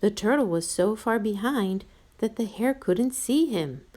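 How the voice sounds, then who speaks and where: A woman reads a picture book aloud to small children. Her pace is slow and her pitch very lively, with an expressive, playful storyteller's delivery. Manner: expressive, addresses listeners playfully.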